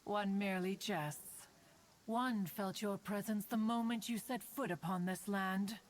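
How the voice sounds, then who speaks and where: A woman's voice: medium pitch, fairly even intonation, a little slow, close to an everyday voice, rough recording. A woman playing a proud, aloof, ancient immortal in a video game, with a haughty and archaic formal manner. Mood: dryly amused. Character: proud, aloof ancient female mountain adept. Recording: game audio, faint background noise.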